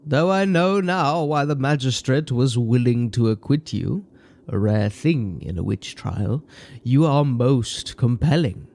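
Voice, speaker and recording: A man playing a grave, weary Franciscan friar in a medieval horror audio drama, solemn and measured. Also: mid-range voice, vocal fry, a little mellow. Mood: grave, regretful.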